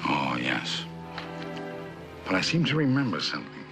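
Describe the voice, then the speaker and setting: Insistent questioner, deep gravelly male voice, swooping delivery, old TV suspense drama. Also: wildly swooping pitch, moderate pace, over music, full character voice. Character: insistent male questioner testing a girl's memory. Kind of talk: 1960s television suspense drama acting.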